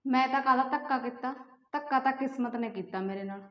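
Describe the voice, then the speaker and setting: Actress as resigned young married woman, short-film drama: very high voice, conversational delivery, dull lo-fi sound. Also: fairly quick, lightly acted. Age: young adult. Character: wistful young married woman meeting her old college admirer. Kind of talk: amateur short-film dialogue. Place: small hard-walled room indoors, camera at some distance. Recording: echoey room, dull lo-fi audio.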